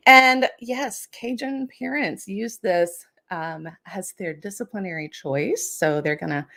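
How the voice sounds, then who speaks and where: A woman hosting a casual storytelling show, talking through folklore in a chatty, wry way with tongue-in-cheek asides. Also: mid-range voice. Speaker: host and storyteller.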